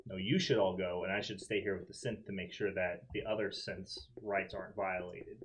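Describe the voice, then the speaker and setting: A man's voice: sits low, steady tone, moderate pace, slight background noise. A man at a tabletop role-playing game, speaking in character as an officious, argumentative officer.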